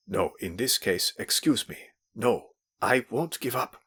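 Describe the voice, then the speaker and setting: A man's voice: fairly low pitch, animated, a little slow, bright, forceful tone, husky, no echo, studio quality, heavily acted. A man voicing a nervous middle-aged man who stutters in a furious whisper.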